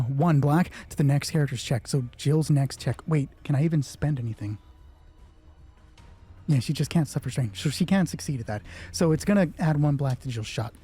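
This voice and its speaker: Man, husky, soft mellow tone, solo tabletop roleplay narration, thinking aloud, casual swearing, background music. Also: a little high. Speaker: solo game master and narrator.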